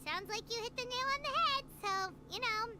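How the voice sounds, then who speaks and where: A woman voicing a tiny, cheerful, childlike fairy companion with a squeaky voice in a video game. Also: normal speed. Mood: friendly, inquisitive. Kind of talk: video game dialogue acting. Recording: noisy game stream capture.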